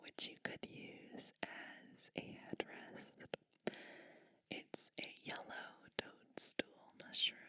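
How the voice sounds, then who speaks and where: A woman whispering gently and attentively as a kindly witch in an ASMR bedtime roleplay, soothing the listener. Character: kindly witch preparing a guest for bed.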